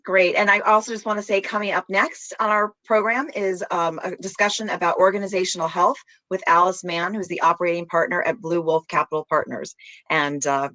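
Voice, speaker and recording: A woman plays a program host interviewing a guest. Her voice is a little low, recorded dry and close, and her manner stays friendly and conversational. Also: steady tone, brisk, pushed and bright, no echo. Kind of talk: interview hosting.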